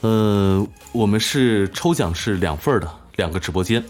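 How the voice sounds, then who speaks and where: A man's voice: lowish voice, moderate pace, a little mellow. A man co-hosting a casual live-streamed event, reading out questions and announcements. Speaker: live-stream co-host of an audio drama event. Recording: music behind the voice. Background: background music.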